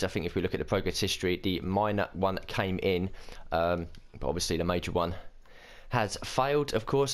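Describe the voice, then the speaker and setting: A man with a cold talks over his racing game in a low, hoarse voice. He moves at a brisk clip and thinks out loud, filling the gaps with uhs and ums. The tone stays casual, like a let's-play commentary. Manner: chatty, thinks aloud with many uhs. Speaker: gaming channel host.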